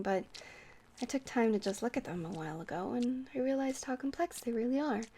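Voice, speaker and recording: A woman's voice: medium pitch, a little hiss or hum, close to an everyday voice. A woman playing a shy, friendly vampire who rambles hesitantly and flirts sweetly in an ASMR roleplay. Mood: flustered, tender. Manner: hesitant, rambling, soft-hearted, awkwardly stammering.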